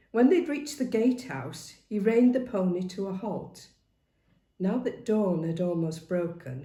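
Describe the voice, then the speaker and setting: A middle-aged woman narrates a dramatic story at an unhurried pace. Her voice is lowish and mellow, with expressive delivery, in an echoey room. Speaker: author reading their own book aloud. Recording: roomy, echoey home recording.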